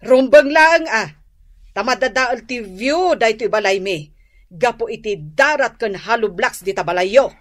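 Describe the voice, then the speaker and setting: Griping high-voiced older Ilocano neighbour woman. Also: expressive, measured pace. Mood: indignant, threatening. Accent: Ilocano Filipino. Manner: stern, griping. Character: indignant, complaining older female neighbour. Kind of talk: radio comedy drama acting.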